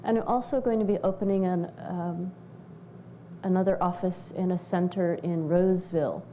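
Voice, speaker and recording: A woman guest on a studio talk show, explaining her health practice in a steady, informative way. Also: on the low side, moderate pace, slight background noise. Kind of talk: studio interview.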